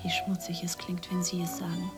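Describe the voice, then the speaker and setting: Actress as hushed, lowish-voiced bitter woman. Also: completely flat delivery, brisk, music in the background, lightly acted. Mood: bitter reproach. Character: bitter, wounded woman cornered by secret police. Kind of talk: German radio play acting.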